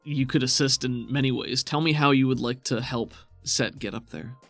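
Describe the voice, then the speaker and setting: A man speaking as game master of a tabletop roleplaying game, describing scenes and talking rulings through conversationally, at a middling pitch. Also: fairly even intonation, normal speed, a bit bright, no echo, faint music behind.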